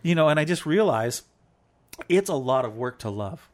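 A man's voice: a little high, lively intonation, normal speed, a little mellow. A man chatting with his wife on a faith talk show, playful and rambling as he counts off points like a teacher. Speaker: co-host, devotional talk.

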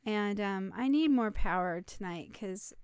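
A middle-aged woman co-hosting a casual podcast with a slightly raspy voice, chatting and laughing often. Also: mid-range voice, animated, unhurried, a little mellow, dry recording, studio quality. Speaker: podcast co-host. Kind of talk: casual chat.

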